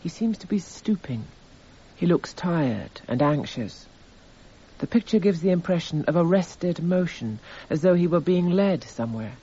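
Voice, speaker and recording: Detached narrator, narrow phone-like sound. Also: male voice, a little high, animated, measured pace, dark tone, breathy edge, heavily acted. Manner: precise, detached, descriptive. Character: detached male court narrator cataloguing evidence. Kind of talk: scripted radio drama narration. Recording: thin, phone-like narrow band.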